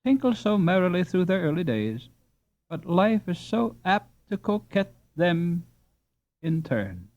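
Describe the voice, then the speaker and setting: A man reading a classic children's novel aloud in an expressive, lilting storybook manner. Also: medium pitch. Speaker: audiobook narrator. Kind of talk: scripted storybook narration. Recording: dull lo-fi recording.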